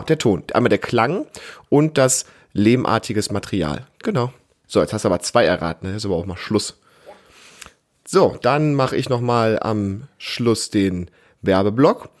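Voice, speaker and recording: A man hosting a casual family podcast, chatting in a patient, encouraging way and hesitating as he explains. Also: lowish voice. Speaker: podcast host.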